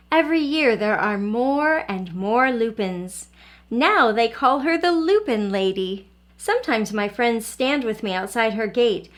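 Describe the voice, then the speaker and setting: Higher-voiced woman reading to children. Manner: expressive, asks questions directly to young listeners. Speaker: early learning teacher reading aloud. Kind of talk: children's picture book read-aloud.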